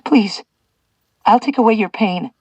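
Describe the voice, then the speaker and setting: A man voicing a pleading gentle young woman. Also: high-pitched, a little slow, slightly rough, no echo, muffled recording, pitched above the performer's own voice. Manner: pleading, earnest.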